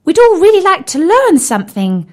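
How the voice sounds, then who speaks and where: An eager little tadpole boy from a storybook, voiced by a woman. The voice is very high and childlike, full of curiosity and excitement. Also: moderate pace, exaggerated voice. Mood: excited, curious.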